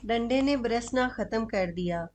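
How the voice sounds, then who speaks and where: Fairly high-voiced woman narrating a story. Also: unhurried, heavy background noise. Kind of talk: scripted cartoon story narration.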